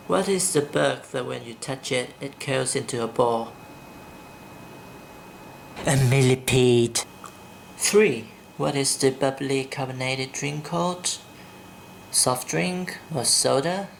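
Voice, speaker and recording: Vlogger, male, Vietnamese accent, unhurried delivery, rough fry in the voice, speaking softly at home at night. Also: medium pitch, a little hiss or hum. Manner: slow and deliberate, blunt when opinionated. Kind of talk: casual video blog, reading a quiz and ranting. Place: indoors at home at night, kept quiet.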